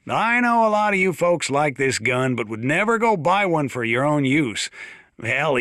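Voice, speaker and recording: An actor playing a gruff, plain-spoken old small-town mountain sheriff with an American accent, talking over the radio in a video game. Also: male voice, medium pitch, bright, forceful tone, dry recording, studio quality. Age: older. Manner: folksy, plain-spoken, drawn-out hesitations. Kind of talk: in-game radio broadcast monologue.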